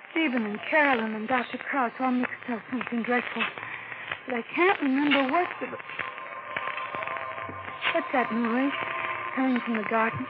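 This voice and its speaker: Actress as a high-voiced, terrified young radio-drama wife. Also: female voice, very noisy, close to an everyday voice. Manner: breathless, melodramatic, haunted self-questioning. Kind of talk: 1940s radio drama acting. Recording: muffled old radio broadcast with music.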